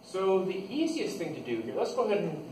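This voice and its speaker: A man's voice: high-pitched, big pitch swings, brisk. Echoey, distant male classroom lecturer. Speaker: accounting instructor. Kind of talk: classroom lecture, explaining step by step. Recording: echoey, noisy room, distant microphone.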